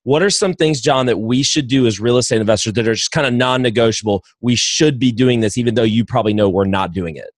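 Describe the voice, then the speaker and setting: A man's voice: mid-range voice, quick pace, hardly any pauses, dry recording. Eager, rambling man hosting a podcast. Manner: enthusiastic, rambling, many restarts. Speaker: podcast host. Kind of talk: podcast interview.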